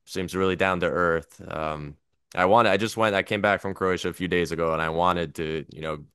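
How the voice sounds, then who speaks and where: A young American man hosts a podcast, asking rambling, chatty questions full of fillers. His voice is deep and smooth. It is recorded dry and close. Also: steady tone, brisk, pushed and bright, no echo. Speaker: podcast host. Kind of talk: casual interview.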